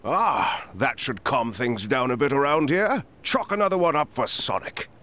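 A man playing a genteel, calm and obliging older professor in an animated video game. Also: mid-range voice, moderate pace. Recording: music behind the voice.

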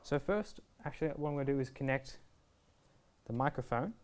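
A young man presents a how-to guide in a casual voice. He explains each step in an easygoing, reassuring manner, at a medium pitch. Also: animated, fairly quick. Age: 20s. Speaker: product reviewer and presenter. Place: indoor shop video studio, standing at a table.

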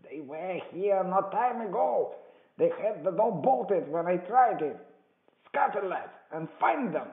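A man voicing a furious blind pirate beggar in an adventure storybook, shouting impatient orders. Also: high-pitched, big pitch swings, unhurried, gravelly, roomy sound, phone-quality sound, pitched above the performer's own voice, full character voice. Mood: angry. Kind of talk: dramatized adventure storybook reading.

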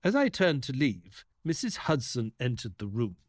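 An actor playing an eager, earnest detective's assistant who narrates an audio drama like a storyteller. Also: male voice, mid-range voice, sing-song, normal speed, slight background noise, close to an everyday voice. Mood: narrating.

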